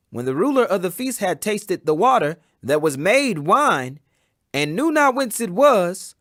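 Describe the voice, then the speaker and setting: High-pitched, expressive man reading scripture. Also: no echo, studio quality. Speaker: scripture reader. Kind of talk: dramatic audiobook Bible reading.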